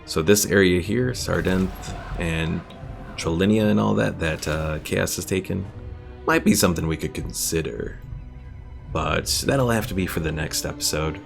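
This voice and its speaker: A man's voice: low voice, normal speed, creaky. A man livestreaming a strategy game, casually thinking aloud and chatting with his viewers as he plays. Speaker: livestreamer, host of a gaming stream. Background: game music.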